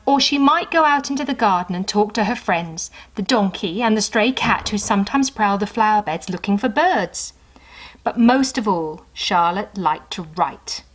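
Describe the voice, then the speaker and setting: Female storyteller, mid-pitched, expressive and unhurried, reading for young children with chatty asides. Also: rough recording. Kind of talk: children's story read aloud with asides to the listener.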